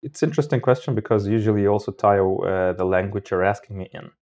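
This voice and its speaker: Man, low pitch, very mellow tone, casual interviewee, halting word choice. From Moldova. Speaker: podcast guest.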